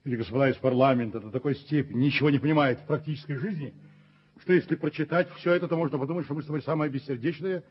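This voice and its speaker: An actor playing a firm, self-justifying rich father and landlord in a radio play, speaking in a measured, lecturing way. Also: fairly high pitch, slightly rough. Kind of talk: Soviet radio play acting. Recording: dull 1970s radio drama recording.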